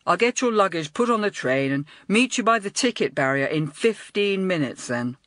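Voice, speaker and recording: A woman reading aloud and voicing a calm, indulgent middle-aged father in a lower, measured voice. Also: dry recording, studio quality, natural voice.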